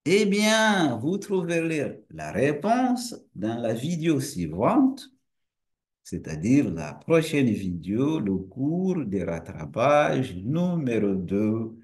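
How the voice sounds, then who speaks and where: A male university lecturer and researcher whose first language is Portuguese, explaining a topic to camera in a relaxed, friendly way, asking rhetorical questions and restarting phrases often. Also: medium pitch.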